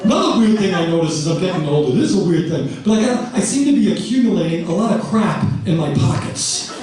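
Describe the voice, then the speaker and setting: High-voiced man in his fifties, stand-up comic, lively exasperated delivery, distant mic in an echoey hall, big laughing crowd. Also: fast talker. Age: 50s. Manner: loud, exasperated, rising to shouts. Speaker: stand-up comedian. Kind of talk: stand-up comedy set. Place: live comedy theater stage. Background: laughing audience.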